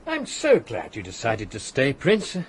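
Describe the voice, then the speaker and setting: A man's voice: mid-range voice, wildly swooping pitch, a little slow, slight background noise. An actor playing a genial, hospitable middle-aged Russian count and fond father in a radio drama, with a fussy, chuckling affability. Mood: genial, chatty.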